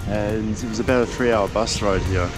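Deep-voiced man vlogging over loud music. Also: steady tone, normal speed. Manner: weary. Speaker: travel vlogger. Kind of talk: casual vlog chat to camera. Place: outdoors by a street and in a hotel room. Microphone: camera held at arm's length.

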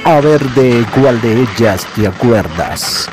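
A man's voice: medium pitch, animated, slow, over music, rough recording. A man hosting and introducing a nostalgic compilation for his followers. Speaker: channel host.

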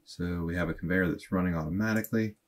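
A man in his thirties at his desk, walking through a software tutorial and thinking aloud in a plain, unhurried way. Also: sits low. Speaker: automation tutorial host. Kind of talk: casual screen-recorded tutorial. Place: small indoor room at a desk.

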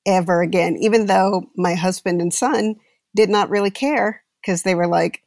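A woman's voice: medium pitch, normal speed, a little mellow, no room sound, clean studio sound. A woman guest telling casual anecdotes in a candid, wry, emphatic way. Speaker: actor, panel guest. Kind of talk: casual anecdote in a panel conversation.

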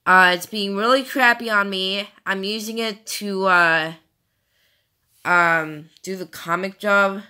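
A woman's voice: mid-range voice, fairly even intonation, takes it slowly, bright, forceful tone, clean tone. A young woman chatting casually and rambling with lots of fillers, sounding tired, recorded on a phone. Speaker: amateur comic dubber. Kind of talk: casual chat.

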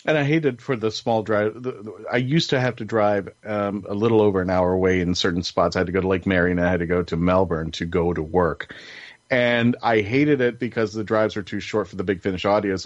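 Halting low-voiced man hosting a podcast. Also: no echo. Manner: halting, repeats words and rephrases often. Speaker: podcast host. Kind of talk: casual panel chat. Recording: dull, lo-fi close sound.

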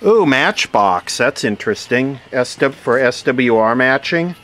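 A man giving casual, hands-on unboxing commentary, musing aloud in short phrases with pauses between them. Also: mid-range voice. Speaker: vlogger unboxing vintage radio gear. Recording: steady background noise.

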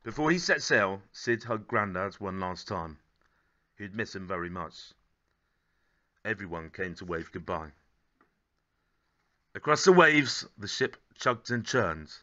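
A man in his thirties reads a children's picture book aloud. His voice is fairly low and bright, with animated swings in pitch. The phrasing is measured and gently dramatic, and the recording sounds a little dull. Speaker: storybook reader. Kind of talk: expressive children's story narration.

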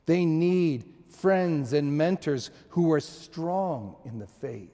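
Slow, lively-voiced older preacher in a reverberant church. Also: male voice, fairly high pitch. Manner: slow, measured preaching with many restarts and emphatic pauses. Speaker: pastor. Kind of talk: sermon. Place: church sanctuary, indoors.

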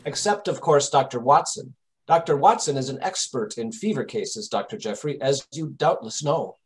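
A man playing a shrewd, composed English gentleman detective in old-time radio mystery style, formal and precise. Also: medium pitch, lively intonation, normal speed, lightly acted. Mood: composed, pointed.

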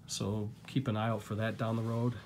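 A man with a lingering cold, casually chatting through a relaxed, rambling unboxing of his new discs, recorded indoors at home. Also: on the low side, normal speed, background noise. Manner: relaxed, rambling, filler-heavy. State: getting over a cold, coughing. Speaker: collector vlogger.

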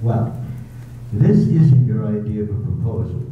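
An amateur actor in a staged radio drama reading, playing a brusque, wealthy older gentleman who talks in a dry, teasing, curt way. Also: on the low side, expressive, a little slow, highs cut off, a little hiss or hum. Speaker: amateur readers theater actor. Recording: echoey hall, far from mic, phone-thin sound.

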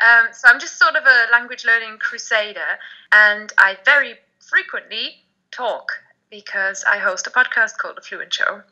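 Bright-voiced woman on a headset. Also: a little high, moderate pace. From Germany. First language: German. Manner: lively, emphatic, laughs often. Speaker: guest, language blogger and podcaster. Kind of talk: casual video call chat. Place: home room, indoors. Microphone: headset on video call. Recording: dull lo-fi video call audio.

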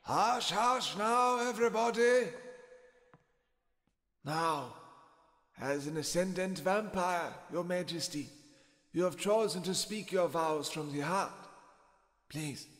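A man's voice: high-pitched, slow pace, long pauses, fairly bright tone. A man playing a solemn priest officiating a royal wedding in a fantasy audio roleplay, stately and ceremonial. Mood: solemn, commanding. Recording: echoing hall reverb.

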